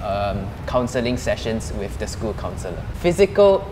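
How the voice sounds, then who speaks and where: A man's voice: big pitch swings, normal speed. Young man hosting, echoey hall. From Singapore and Cambodia. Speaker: host reading out questions. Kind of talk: casual group chat. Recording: echoey hall with rumbling background noise.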